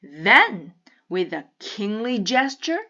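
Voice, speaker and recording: A woman reading a children's picture book aloud with deliberate, teacherly enunciation. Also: medium pitch, sing-song, bright, forceful tone, highs cut off. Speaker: storybook reader.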